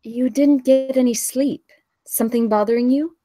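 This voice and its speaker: A woman's voice: high-pitched, steady tone, moderate pace, dark tone, dry recording, close to an everyday voice. An actress playing a caring, practical wife and mother at a script table read.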